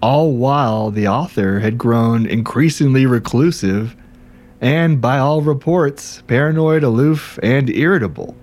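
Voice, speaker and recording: An actor playing an aggrieved, self-dramatizing ghostwriter in a conversational, wry, indignant audio drama monologue. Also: male voice, mid-range voice, measured pace, a little mellow, lightly acted. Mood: aggrieved, suspicious. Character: aggrieved, self-dramatizing male ghostwriter telling his grievances. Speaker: narrator and protagonist.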